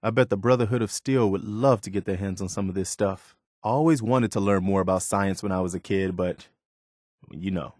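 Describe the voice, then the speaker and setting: A man's voice: low voice, brisk, darkish tone, no echo, clean studio sound. A man voicing an earnest, hopeful militiaman companion in a post-apocalyptic video game, speaking in a plain, wistful and wary manner.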